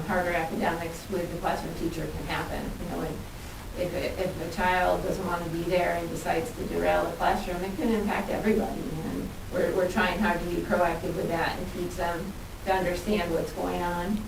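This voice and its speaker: Female school administrator, informal explanatory presenter, lowish voice, heard off-mic over hiss. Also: creaky. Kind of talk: spoken presentation at a board meeting. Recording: echoey, distant mic with hiss.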